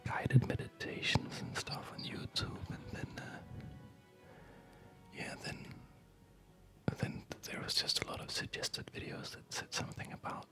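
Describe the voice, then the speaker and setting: Tired asmr gamer whispering, slow n rambly, kinda hesitant game commentary. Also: pushed and bright, soft background music. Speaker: ASMR video game player and host.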